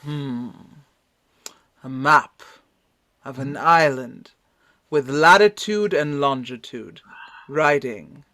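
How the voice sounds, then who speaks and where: A man playing a shrewd, courteous gentleman doctor, formal and deliberate, in a radio play reading. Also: medium pitch, dry recording. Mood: shrewd, curious.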